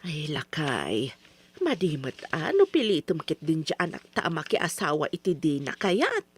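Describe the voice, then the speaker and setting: A woman's voice: deep voice, wildly swooping pitch, moderate pace, soft background music. An actress playing a worried, reproachful older mother and wife in old radio drama style.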